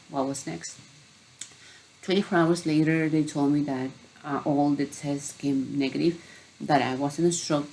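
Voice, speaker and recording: Low-voiced woman, earnest health coach. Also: warm tone, a little hiss or hum, rough recording. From Peru. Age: middle-aged. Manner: earnest, heartfelt storytelling. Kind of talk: personal vlog introduction.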